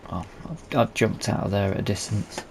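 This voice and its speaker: A man's voice: on the low side, moderate pace, creaky, background noise. A British man giving casual let's play commentary, often muttering to himself as he plays. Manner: muttering to himself while playing. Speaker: gaming video host.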